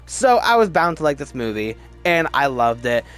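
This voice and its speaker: A man giving casual, opinionated commentary as a video host, emphatic and halting, often restarting his sentences. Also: a little high, very animated, a bit bright, clean tone. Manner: chatty, halting, restarts sentences mid-word. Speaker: movie review video host. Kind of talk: casual ranked-list movie commentary.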